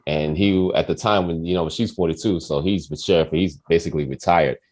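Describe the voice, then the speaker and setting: A man in his forties hosting a casual quiz and chat, telling stories in an animated, slangy way with some profanity. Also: deep voice, fairly quick. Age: 40s. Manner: animated, profane, acts out quoted voices. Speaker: quiz host on a talk show.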